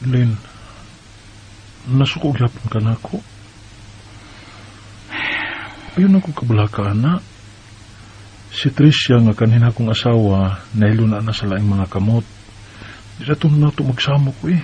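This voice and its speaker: A man's voice: mid-range voice, expressive, normal speed, long pauses, dark tone, lightly acted. A man with a Bisaya Filipino accent playing a married man placating his girlfriend in a radio drama. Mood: pleading, placating. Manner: persuasive, placating. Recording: dull lo-fi sound, faint background noise.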